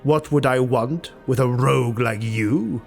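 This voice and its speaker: A man voicing a proud, suspicious highborn lord in an audiobook, curt and commanding. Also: a little slow, vocal fry, faint music behind.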